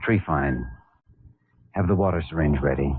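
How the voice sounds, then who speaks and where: Young surgeon, old radio drama: deep, earnest, controlled, clipped. Thin vintage sound with some noise. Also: male voice, moderate pace. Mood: focused, tense. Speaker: actor in a medical radio drama. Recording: noisy, tinny old radio recording.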